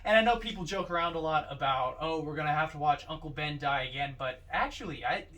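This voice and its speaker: A man's voice: a little high, fast, fairly bright tone, a little hiss or hum. A man co-hosting a podcast, talking through films in a casual, conversational way with many "you know"s. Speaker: podcast co-host. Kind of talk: casual film discussion. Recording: distant from the mic.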